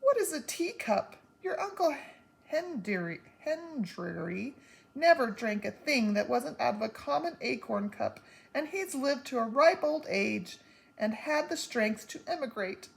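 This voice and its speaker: A woman's voice: expressive, unhurried, creaky, low-quality recording. A woman voicing a fretful, tearful tiny mother. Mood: worried. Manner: fretful, high and emphatic.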